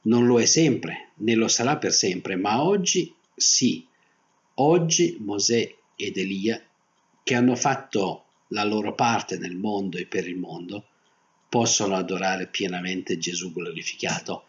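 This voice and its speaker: Older male pastor, low-pitched, muffled recording. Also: a little slow. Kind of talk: Protestant sermon. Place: small indoor room, seated at a desk. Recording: dull, muffled webcam audio.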